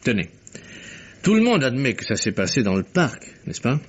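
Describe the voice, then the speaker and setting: An actor playing a courteous, analytical gentleman detective in a vintage French radio mystery, with precise, theatrical delivery. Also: mid-range voice, big pitch swings, moderate pace, breathy edge. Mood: animated, analytical. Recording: muffled old radio drama recording.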